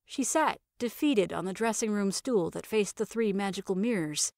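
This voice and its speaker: A woman narrating scripted audio fiction and podcast credits with an expressive, wry storytelling manner. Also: mid-range voice, moderate pace, no room sound. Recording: dry recording with music behind. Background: music.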